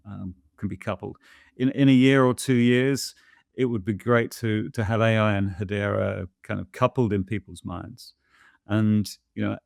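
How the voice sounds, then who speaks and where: A man with a fairly low voice and a gravelly edge to it. He talks in a thoughtful, halting way, full of ums and restarts, like someone in a casual chat. The sound is close and dry. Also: dry recording. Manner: thoughtful, hesitant, many fillers and restarts. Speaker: guest answering viewer questions. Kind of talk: casual conversational interview.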